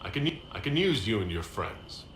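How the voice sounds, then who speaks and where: A calm male hero from a fantasy game. His voice is fairly low with animated inflection, businesslike and inquisitive. It comes through echoey and a bit noisy. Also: moderate pace. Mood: composed. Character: calm, curious male hero leading an order. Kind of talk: video game character acting.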